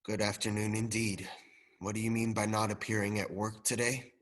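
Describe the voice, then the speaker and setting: A man's voice: lowish voice, flat delivery, normal speed, natural voice. A male drama student playing an elderly reformed miser, joyful and repentant, in a radio play. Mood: mock stern.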